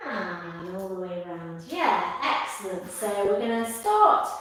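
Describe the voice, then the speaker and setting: A woman instructor leads a live tai chi class, giving calm, measured step-by-step cues and repeating her phrases. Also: medium pitch. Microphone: far from the speaker. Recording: distant mic in echoey room, faint noise.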